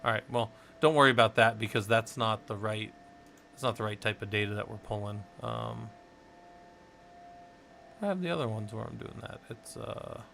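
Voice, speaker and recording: A man's voice: lowish voice, normal speed, vocal fry, sharp tone, a little hiss or hum. A man from the Southwest United States rambling and thinking aloud while teaching a casual live-stream tech tutorial, chatting with viewers as he works.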